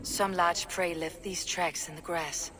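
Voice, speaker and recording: A woman playing a stoic, weary Viking warrior leader in a video game, speaking in a terse, grave, measured way. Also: fairly low pitch, fairly even intonation, over music, close to an everyday voice. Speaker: lead game character. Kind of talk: video game cutscene acting. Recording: background ambience noise.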